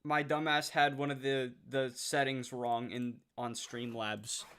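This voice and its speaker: Bright-voiced excitable young man streaming. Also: mid-range voice, unhurried, smooth. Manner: excitable, swears casually. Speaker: livestreamer. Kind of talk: casual livestream chat reading viewer comments.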